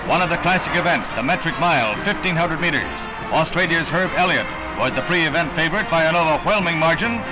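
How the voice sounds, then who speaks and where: A vintage 1960 newsreel plays with loud orchestral music under the narrator. He is an American man with a fairly high-set voice. He delivers scripted, florid lines in an emphatic, dramatic announcer style. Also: lively intonation, fairly quick, few pauses, low-quality recording.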